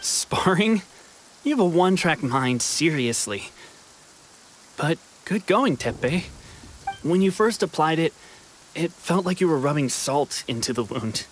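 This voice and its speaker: An actor playing a good-natured, sensible wounded soldier in a video game, gently teasing and mildly sceptical. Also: male voice, fairly high pitch, animated, unhurried, pauses often, slight background noise, rough recording. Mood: relieved, grateful. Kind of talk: video game character dialogue.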